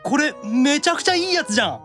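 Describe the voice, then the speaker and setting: A man voicing an excitable young demon gamer in an anime audio drama, with an eager, expressive delivery. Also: very high-pitched, fast, soft background music, voice pushed up, heavily acted.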